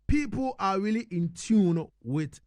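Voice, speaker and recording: A man's voice: high voice, expressive, measured pace, a little mellow, no room sound. A man hosting a radio interview, feeling his way into questions in a conversational style.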